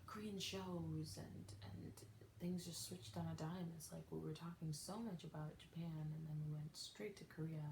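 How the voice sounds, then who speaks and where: A young woman hosting a solo podcast, talking casually in a soft, half-whispered way, rambling and correcting herself as she goes. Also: low-pitched, steady tone, normal speed, vocal fry. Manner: rambling, self-correcting, chatty. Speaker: podcast host. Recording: echoey room with faint noise.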